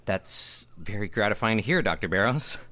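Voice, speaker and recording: A man's voice: lowish voice, expressive, normal speed, bright, forceful tone. A man playing a brash young theater director in an audio drama, guarded and dry, with restrained replies. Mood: grateful, stunned.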